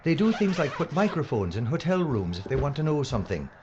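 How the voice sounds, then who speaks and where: A man playing a jittery, sarcastic, self-interested filmmaker in an audio drama, excitable and complaining. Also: a little high, fairly quick, lo-fi sound, noisy background. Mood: urgent.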